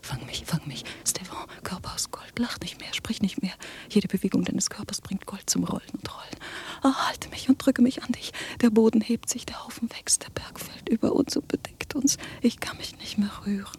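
Actress as ecstatic, trembling lover for radio drama monologue; breathy whisper with creak, incantatory and intense. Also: female voice, medium pitch, moderate pace, a little mellow, close to an everyday voice. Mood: ecstatic rapture. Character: feverish, love-struck woman in trembling ecstatic rapture.

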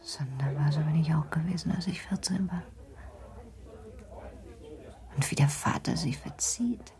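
Uneasy young wife, low-voiced. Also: female voice, normal speed, darkish tone. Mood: pensive. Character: uneasy young wife in a 19th-century household. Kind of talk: German literary radio play acting.

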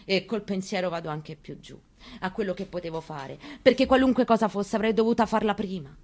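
Woman reading an intense first-person monologue. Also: mid-range voice. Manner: measured, intimate, quietly intense telling. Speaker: actress performing a reading. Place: radio broadcast of a stage reading.

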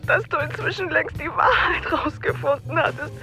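Anxious sorry lady in a radio play, high bright voice, halting n pleading. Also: soft background music. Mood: pleading, apologetic. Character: anxious, apologetic woman pleading with a man she knows. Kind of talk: German radio crime drama acting.

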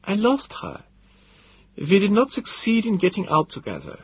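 A man voicing a gentle, sorrowful older professor who speaks slowly and softly, with a raised, thinner tone, in an audiobook reading. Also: sits high, wildly swooping pitch, slightly rough, no room sound, voice pushed up, heavily acted. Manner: slow, soft and resigned. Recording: dull lo-fi recording.

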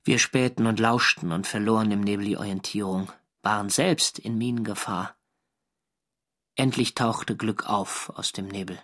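An actor playing a wartime sailor narrating his diary in a measured, dryly wry way for a radio drama. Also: on the low side, steady tone, a bit bright. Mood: sober, reporting.

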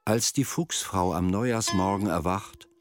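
A man reading scripted narration for a radio drama in a measured storyteller's manner. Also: sits low. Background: plucked string music.

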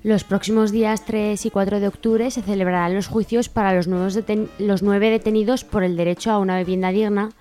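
A woman's voice: mid-range voice, steady tone, fairly quick, hardly any pauses, dark tone, soft background music. A woman from reading scripted announcements of upcoming local events as a radio presenter.

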